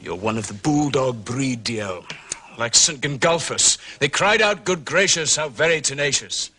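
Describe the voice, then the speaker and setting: An actor playing a flippant, evasive gentleman painter in a radio drama, with a sardonic, theatrical drawl. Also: mid-range voice, animated, measured pace. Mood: defiant, amused.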